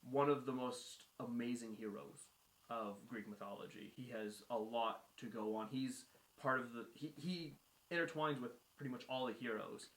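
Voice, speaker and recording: A man's voice: medium pitch, normal speed, a bit bright. A man casually retelling a myth as a guest storyteller, with colloquial phrasing and acted-out dialogue. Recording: roomy echo.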